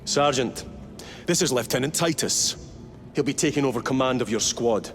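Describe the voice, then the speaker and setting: An actor playing a stern male warrior captain who gives blunt, measured orders in a game. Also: medium pitch, soft background music, close to an everyday voice. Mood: commanding.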